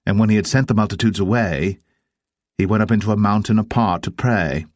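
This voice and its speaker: A man narrating dramatised scripture in a solemn, measured manner. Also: fairly low pitch, a little mellow, no room sound, clean studio sound.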